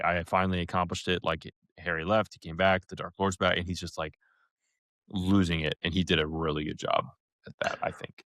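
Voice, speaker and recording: Man, deep pitch, dry sound, casual podcast co-host, loose searching delivery. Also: no echo. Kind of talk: casual review chat.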